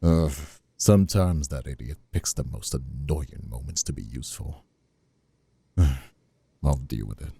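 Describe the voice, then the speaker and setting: An extremely deep, dark-voiced mob boss villain, performed by a man, smug and vengeful. He draws his words out slowly with theatrical, mocking menace. The voice is gravelly and husky, close and dry, as in an ASMR audio drama. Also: dry recording, heavily acted. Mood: annoyed, commanding. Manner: slow, theatrical, mocking drawl.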